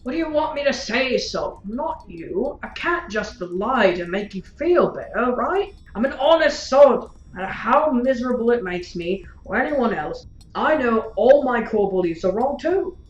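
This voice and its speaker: A woman's voice: fairly high pitch, expressive, a little slow. Female actor as flippant fatalist, casual, blunt, audio drama, roomy dull recording. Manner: casual, blunt, ends phrases with tag questions. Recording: roomy, dull sound with faint music.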